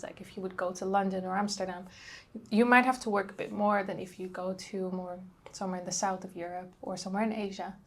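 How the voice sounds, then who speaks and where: Young woman, Dutch accent, travel vlogger, casual chatty talk, lots of ums and likes. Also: mid-range voice. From Netherlands.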